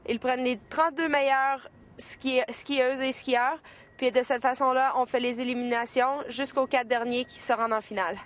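A woman's voice: sits high, fairly even intonation, a little fast, slight background noise. A woman athlete, answering hesitantly outdoors in the snow. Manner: hesitant, many fillers. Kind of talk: casual interview answers.

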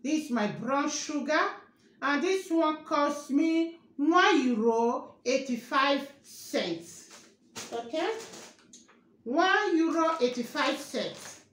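A woman in her thirties speaks slowly in a high voice, going through her groceries item by item. She repeats each price and keeps asking viewers whether they can see what she holds up. The kitchen sounds roomy and echoey, with a faint hiss underneath. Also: animated, fairly bright tone. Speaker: vlogger. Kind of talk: grocery haul show-and-tell. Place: kitchen, indoors. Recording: echoey room with faint noise.

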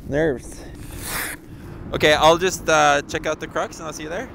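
A young man on a snowy mountain ski tour, chatting casually and excitably into a body-worn action camera, swearing and laughing often. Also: fairly high pitch, normal speed, gravelly, a little hiss or hum, low-quality recording. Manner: excitable, swears, laughs a lot, says "dude". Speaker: skier and vlogger. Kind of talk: casual outdoor chat while ski touring. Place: outdoors on a snowy mountain couloir.

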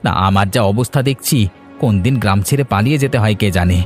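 A man's voice: lowish voice, fast, soft background music, close to an everyday voice. A man voicing a destitute, despairing village neighbour in a cartoon, with a lamenting manner. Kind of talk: cartoon drama dialogue.

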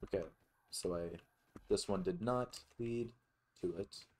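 A man's voice: low-pitched, slow, a little mellow. A man giving casual let's play commentary, murmuring short reactions to himself as he plays. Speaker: gaming video host.

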